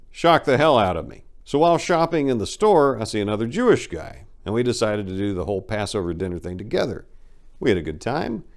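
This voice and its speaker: A man giving a dramatic first-person reading as a book narrator, telling stories in a brash, irreverent, slangy way. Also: lowish voice.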